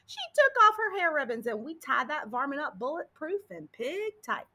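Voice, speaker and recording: Woman putting on a folksy, high-pitched, boastful cowboy sheriff. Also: sing-song, normal speed, sharp tone, heavily acted. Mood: excited. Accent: American. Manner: drawling Old West dialect, exaggerated storytelling. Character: folksy, boastful, dim-witted cowboy sheriff telling his tale.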